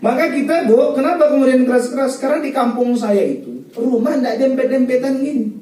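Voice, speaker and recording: A man from Medan giving a lively, joking wedding sermon, talking straight to the guests. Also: very high voice, fairly quick. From Medan, North Sumatra. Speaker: preacher giving wedding advice. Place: wedding venue hall. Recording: echoey hall, voice from far off.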